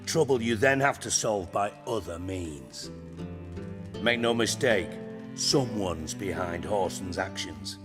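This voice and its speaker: A cunning, gruff crime boss from a fantasy video game, middle-aged and low-voiced. His speech is blunt and wryly sardonic, ringing in a long hall reverb with music clearly behind it. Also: lively intonation, a little slow. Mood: measured, wry. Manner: blunt, wry, salty-tongued.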